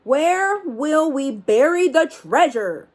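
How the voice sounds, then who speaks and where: A woman voicing a blustery male pirate captain with drawn-out, hollered nautical lines in a storybook. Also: high-pitched, lively intonation, a little mellow, voice pushed up. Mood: shouting, urgent.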